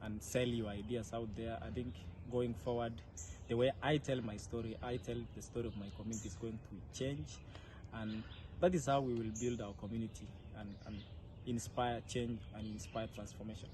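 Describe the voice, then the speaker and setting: A young Kenyan man giving earnest interview answers, with frequent hesitant pauses. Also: mid-range voice, fairly even intonation. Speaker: interviewee, leadership fellow. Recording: clear ambient background noise.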